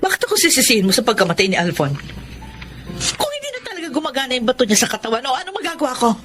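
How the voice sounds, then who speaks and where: A woman's voice: high-pitched, very animated, very fast, over music. An actress playing a mother who is money-minded and proud yet pleads, in Filipino radio melodrama style. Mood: scornful, defensive.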